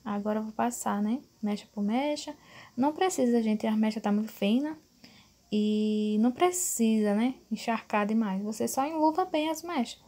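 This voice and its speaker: A woman's voice: a little high, moderate pace, dark tone. A woman in her thirties from Brazil, talking viewers through her hair-care routine in a chatty, casual way, often asking for agreement. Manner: chatty, addresses viewers as "gente", many fillers like "né". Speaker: beauty vlogger. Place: small bedroom at home, indoors.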